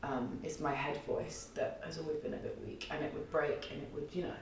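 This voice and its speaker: A woman in her early thirties with a husky, low alto voice, telling a long story in a rambling, chatty way with many fillers in a podcast interview. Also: steady tone, brisk, recorded from a distance, slight background noise. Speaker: guest, singer and theatre artist. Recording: echoey room, voice off-mic.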